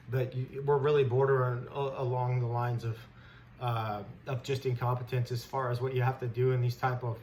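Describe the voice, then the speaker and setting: A man who hosts stock commentary, delivering an unscripted, blunt, indignant rant in informal language. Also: mid-range voice. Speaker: stock trader host giving commentary. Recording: clear background noise.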